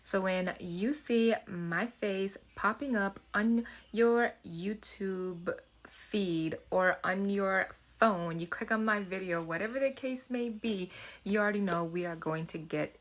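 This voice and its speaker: A woman's voice: medium pitch, slow pace. A woman with a Haitian accent talking casually to camera as a self-development vlogger, chatty and earnest, often restarting and repeating words.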